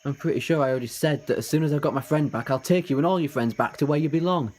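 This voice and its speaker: A man's voice: a little high, racing, darkish tone, a little hiss or hum, close to an everyday voice. A man playing an eager, ageless time-travelling adventurer in an audio drama, earnest and persuasive with flippant asides. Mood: exasperated. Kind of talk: fan audio drama acting.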